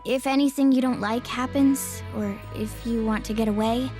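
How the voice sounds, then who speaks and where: A woman voicing a reserved, gentle young event guide with a hesitant, halting manner in an English anime game dub. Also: fairly high pitch, fairly even intonation, soft background music, close to an everyday voice.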